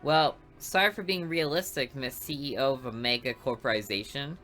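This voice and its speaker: A woman's voice: extremely low pitch, normal speed, faint music behind. A woman voicing a haughty, ambitious teenage schoolgirl who talks down to others. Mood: snippy. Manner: patronizing and sharp.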